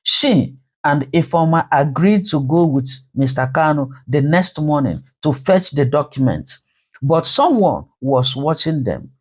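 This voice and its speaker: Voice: medium pitch, expressive, measured pace, husky. A storyteller reading a dramatic scripted folktale narration.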